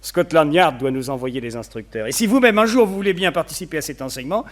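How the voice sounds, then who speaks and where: An actor playing a courteous, effusive city mayor with a hearty, slightly pompous manner in a vintage radio drama. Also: male voice, on the high side, expressive, fast, close to an everyday voice. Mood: grave, worried. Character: jovial, flattering male city mayor, courteous yet worried.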